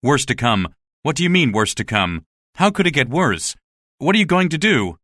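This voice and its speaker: A man's voice: mid-range voice, very animated, normal speed, a bit bright, dry recording, clean studio sound. A man playing an anxious, earnest survivor in a dramatized story, questioning and incredulous in manner. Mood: shocked, questioning. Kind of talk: dramatized audiobook dialogue.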